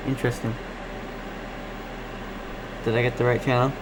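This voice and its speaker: Man, mid-pitched voice, casual rambling live-stream chat, distracted, clear background noise. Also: flat delivery, moderate pace. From Canada. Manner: rambling, distracted, thinking aloud. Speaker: live stream host, radio hobbyist.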